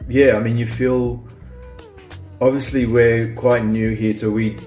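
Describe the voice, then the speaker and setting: A man's voice: mid-range voice, flat delivery, unhurried, a little mellow, reverberant, faint music behind. A man who grew up in Australia, hosting a casual chat about small-town life, rambling with lots of restarts and ums. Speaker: vlog host.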